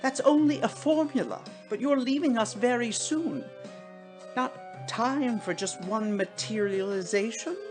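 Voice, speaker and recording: A woman's voice: fairly high pitch, unhurried, over music, pitched above the performer's own voice, lightly acted. A woman voicing an effusive, wheedling female medium as a storybook character. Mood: coaxing. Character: gushing, coaxing female spiritualist medium.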